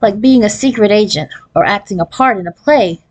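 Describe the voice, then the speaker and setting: A woman narrating a children's novel as an expressive read-aloud. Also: mid-range voice, a little fast, poor audio. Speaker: storybook reader.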